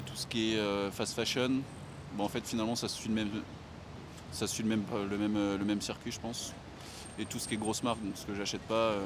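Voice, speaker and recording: A man in his late twenties answering a street interview casually, searching for words. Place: outdoors on a city street. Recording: clear urban street rumble, traffic.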